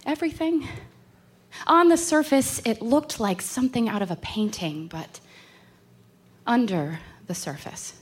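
A woman's voice: fairly high pitch, lively intonation, unhurried, slight background noise. An actress playing an anxious, uneasy young woman in a horror audio drama, speaking in a hesitant, wistful way. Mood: ominous, wistful. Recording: music behind the voice.

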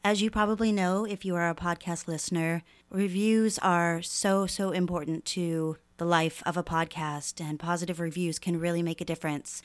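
A woman's voice: on the low side, steady tone, moderate pace, hardly any pauses. A woman presenting a podcast, reading a scripted appeal to listeners.

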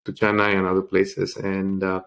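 A man from India, an interview guest, telling his life story in a halting way with many fillers and restarts. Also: low-pitched, completely flat delivery, vocal fry, a bit bright.

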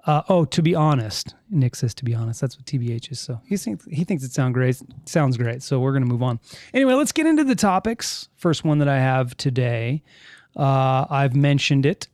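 A man's voice: medium pitch, animated, normal speed, a little mellow, no room sound. A man hosting a casual live podcast, rambling and self-correcting as he reads listener chat comments aloud. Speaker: podcast host. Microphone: studio mic with headphones.

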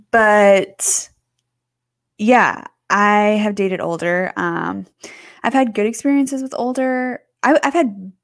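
A woman's voice: medium pitch, gravelly, no room sound. Casual 20s woman podcast co-host, filler-heavy chat, dry close sound. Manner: chatty, lots of fillers, quick bursts.

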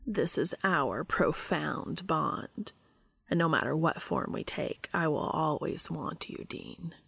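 A woman voicing a calm, devoted male angel in an audio story, speaking gravely and warmly with slow deliberation. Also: sits low, dry recording, full character voice.

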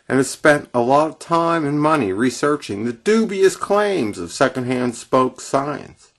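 Man, very lively intonation, emphatic, wry, opinionated radio monologue, guitar music behind. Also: medium pitch. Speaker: radio presenter. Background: acoustic guitar music.

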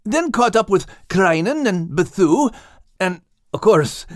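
An earnest, warm-hearted Scottish animal hero, played by a man reading an audiobook. The voice sits high and moves with lively swings. It has an adventurous storybook feel and a dry, close sound. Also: takes it slowly, no room sound, full character voice. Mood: earnest, smitten. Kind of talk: audiobook character acting.

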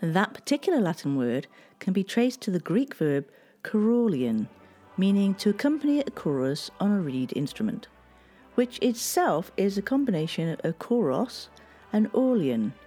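A woman hosting a history podcast, telling past events in a measured, scripted storytelling delivery. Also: mid-range voice, sing-song, dark tone, faint music behind.

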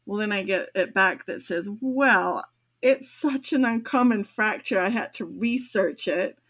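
A woman's voice: medium pitch, a little slow, a bit bright. An older woman, a grandmother and podcast co-host, telling a long personal story in casual chat, laughing often as she talks. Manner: chatty, laughs often while telling stories.

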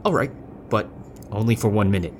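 An actor playing a flustered, timid telegraph clerk in a period audio drama, stammering, hesitant and deferential. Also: male voice, lowish voice, a little fast, a little hiss or hum, natural voice. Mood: nervous, yielding.

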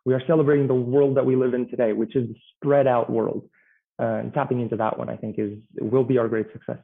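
A man talks through a panel discussion at a steady, reflective pace. His voice comes through thin and tinny, with the narrow sound of a phone line. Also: mid-range voice, creaky. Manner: measured, reflective, speaks in lists.

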